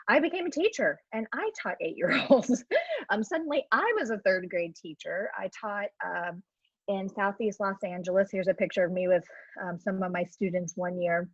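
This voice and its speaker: A woman's voice: mid-range voice, no echo. Woman presenter, Ohio-raised, casual and self-deprecating; dry, slightly muffled video-call sound. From Ohio, USA. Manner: chatty and self-deprecating, laughs often, many fillers. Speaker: education professor presenting a webinar. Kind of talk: live webinar presentation. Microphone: computer mic on a video call.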